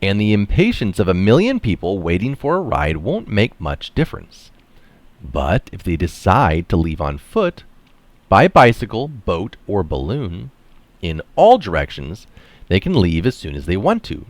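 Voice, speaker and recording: Middle-aged male article reader: low register, extremely animated intonation, deliberate emphasis on key terms. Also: no room sound. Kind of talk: reading an article aloud with brief casual asides. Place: small room indoors.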